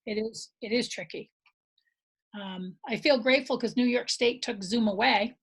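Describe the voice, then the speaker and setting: A woman's voice: fairly high pitch, normal speed, gravelly, breathy edge. A middle-aged American woman chatting casually with colleagues in a work meeting on a video call. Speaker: school staff member leading teachers.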